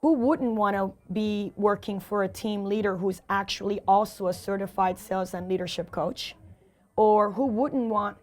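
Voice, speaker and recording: A woman with a hoarse voice, a sales and leadership coach answering interview questions in an emphatic, motivational way, often repeating key phrases. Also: medium pitch, normal speed.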